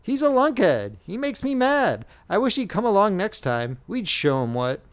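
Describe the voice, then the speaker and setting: Male reader playing hot-headed young private, country speech, pitched up. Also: big pitch swings, normal speed, clean tone. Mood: exasperated. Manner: rustic, exasperated. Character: young male soldier, the youth, easily riled.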